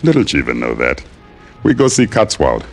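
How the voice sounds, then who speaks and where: A man playing a humble, devout young male island guide who speaks broken English and chants softly, in a 1950s radio drama. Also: a bass voice, wildly swooping pitch, normal speed, exaggerated voice. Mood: earnest. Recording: vintage radio broadcast with music.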